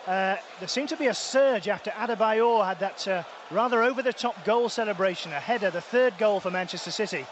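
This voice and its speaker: A man reports live on a football match in a high-pitched voice. He is out at a stadium, with clear background noise around him. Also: a little fast, highs cut off. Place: outdoor stadium. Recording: loud outdoor stadium noise.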